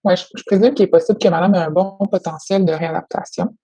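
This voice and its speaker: Female clinician. Mellow, slightly raspy, formal and measured, dry recording. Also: on the low side, dry recording. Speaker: occupational therapist. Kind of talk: professional phone consultation, prepared report.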